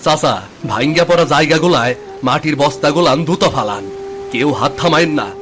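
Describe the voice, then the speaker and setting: A man's voice: fairly high pitch, a little fast, a bit bright, lightly acted. A man playing an urgent, commanding villager rallying others in a story, with a rural Bangladeshi accent. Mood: urgent, alarmed. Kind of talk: dramatised Bengali story acting.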